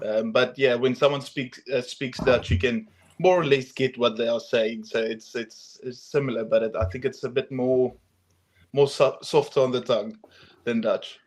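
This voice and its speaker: A man's voice: mid-range voice, steady tone, normal speed, a little hiss or hum. A young man from South Africa with a South African accent, a guest talking casually in an interview, hesitant with many ums and restarts. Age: young adult. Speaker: guest, arm wrestler.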